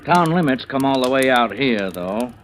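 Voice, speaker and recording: An actor playing a laconic, nosy small-town police chief in an old radio drama, folksy and plain-spoken. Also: male voice, medium pitch, expressive, normal speed, natural voice. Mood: casual, probing. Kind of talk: 1940s-50s radio drama acting. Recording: noisy old radio recording.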